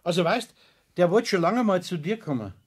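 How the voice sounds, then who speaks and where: Husky, fussy, evasive Bavarian fellow. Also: male voice, high voice, expressive, normal speed. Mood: awkwardly evasive. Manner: hesitant, evasive, often ending in a questioning tag. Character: fussy, awkwardly evasive male friend of craftsman. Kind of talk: children's radio play acting.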